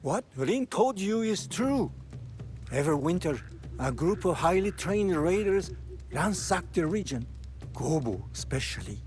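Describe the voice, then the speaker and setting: An actor playing a guarded, dutiful village elder in a game drama, speaking formally and gravely. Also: male voice, high voice, sing-song, a little slow, a little mellow. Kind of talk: dramatic game cutscene acting.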